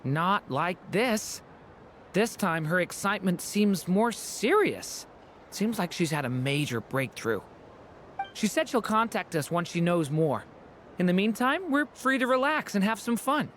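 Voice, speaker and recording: Woman as an animated, earnest fantasy game youngster. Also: medium pitch, unhurried, a little hiss or hum, close to an everyday voice. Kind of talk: video game voice acting.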